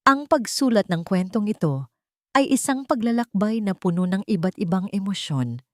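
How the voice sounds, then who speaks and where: A woman narrating a scripted romance story in a sentimental, deliberate way. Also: mid-range voice, lively intonation, dry recording, clean studio sound.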